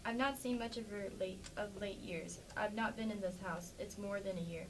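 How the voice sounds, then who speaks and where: A woman's voice: mid-range voice, steady tone, normal speed, close to an everyday voice. A woman playing a plainspoken, defensive farmer's wife in an amateur staged play reading. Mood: guarded, defensive. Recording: distant mic with faint background noise.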